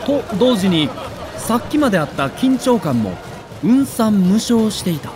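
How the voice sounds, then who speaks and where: High-voiced man in dramatic narration, noisy backdrop. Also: sing-song, unhurried, crowd noise. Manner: theatrical, emotive, emphatic delivery. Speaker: narrator of a baseball audio documentary.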